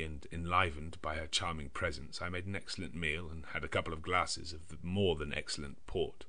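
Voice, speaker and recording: Very deep-voiced male audiobook narrator. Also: sharp tone. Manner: measured, clearly enunciated, literary. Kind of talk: scripted narration of a gothic novel in diary form.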